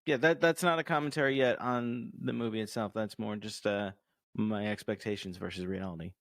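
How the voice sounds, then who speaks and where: A man's voice: lowish voice, fairly even intonation, a little fast, vocal fry, no room sound. A man co-hosting a casual movie review podcast, explaining his thoughts with fillers and restarts. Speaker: podcast co-host.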